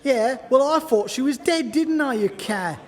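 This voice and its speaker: A rough British working-class man as acted in a radio play, aggrieved and blunt, his pitch leaping about melodramatically. The voice carries a long reverb. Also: lively intonation, measured pace. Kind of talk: comic radio play acting.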